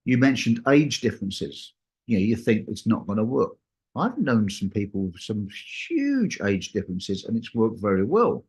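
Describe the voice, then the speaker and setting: A man's voice: on the low side, sing-song, moderate pace, a little mellow. A man in casual conversation, talking in a rambling, anecdotal way and acting out bits of reported dialogue.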